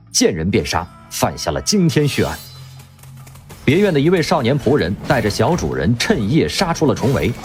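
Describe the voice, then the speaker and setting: A man narrating a radio drama in a vivid, expressive storytelling manner. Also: medium pitch. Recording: music underneath.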